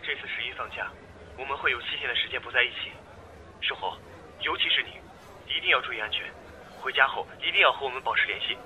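A man's voice: mid-range voice, fast talker, pushed and bright, a little hiss or hum, low-quality recording. An actor playing an earnest, protective young male friend in an audio drama. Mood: concerned.

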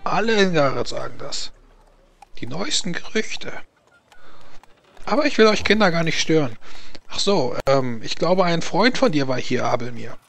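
A man's voice: a little high, lively intonation, moderate pace, creaky, long pauses, fairly bright tone. Man putting on a chatty motherly widow. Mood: gossipy, excited. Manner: gossipy, fussing, stumbling over words. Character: chatty, gossipy motherly old widow landlady.

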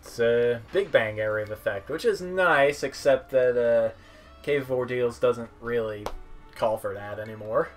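A man's voice: mid-range voice, unhurried. Casual man commentating over game music. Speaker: game streamer. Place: small room at home, indoors.

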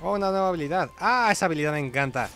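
A man's voice: a little high, expressive, racing, fairly bright tone. A man hosts a gameplay video in a casual, conversational way. He comments as he plays, with music behind his voice. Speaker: gaming video host.

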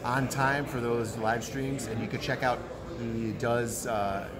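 A young man talks in an easy, rambling way, dropping plenty of "uh" and "you know" as he gives a casual update. A phone camera held close picks him up, and a loud crowd roars all around him in a busy convention hall. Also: medium pitch, slow. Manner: relaxed, filler-heavy. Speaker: comic creator co-host. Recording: loud crowd noise behind.

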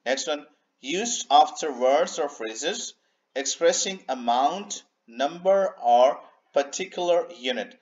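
A man teaching an English grammar lesson over slides, unhurried, repeating himself and filling pauses with "okay" and "uh". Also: a little high, animated, highs cut off. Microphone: voice-over on a screen recording.

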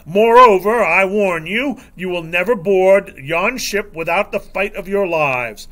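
A man voicing a stern pirate captain in a storybook, speaking with precise, measured command. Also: sits high, sing-song, pushed and bright, heavily acted, rough recording. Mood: stern warning.

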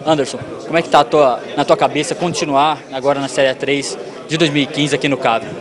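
A Brazilian sports reporter, a man, asking questions quickly with a fairly high voice. He speaks into a handheld mic in an indoor hall, and the chatter of a busy crowd carries behind him. His tone stays conversational. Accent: Brazilian Portuguese. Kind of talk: post-event player interviews. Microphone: handheld microphone. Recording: lively crowd noise behind.

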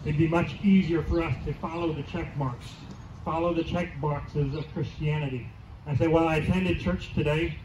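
A middle-aged pastor giving a conversational outdoor sermon, asking the crowd questions and repeating key lines, heard through a handheld mic and PA. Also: male voice, fairly high pitch, fairly even intonation, normal speed, background noise. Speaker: teaching pastor. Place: church lawn outdoors, congregation under a tent. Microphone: handheld mic through PA speakers, filmed from afar. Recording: distant, roomy outdoor PA sound.

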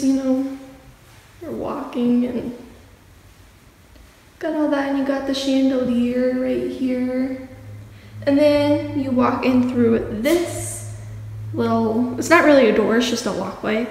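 A woman's voice: high voice, fairly even intonation, takes it slowly, creaky, long pauses, darkish tone. Chatty teen girl in an echoey empty house. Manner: chatty, rambling, filler-heavy. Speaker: vlogger. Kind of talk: casual house tour vlog. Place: empty house with bare walls, indoors. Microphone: phone held at arm's length. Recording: echoey empty rooms, faint room hum.

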